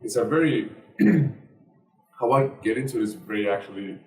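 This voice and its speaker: A young man with a Turkish accent, answering interview questions earnestly in halting speech full of fillers. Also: mid-range voice, animated. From Turkey. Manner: earnest, halting, many fillers and broken grammar. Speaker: guest, basketball player and activist. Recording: roomy, distant from the mic.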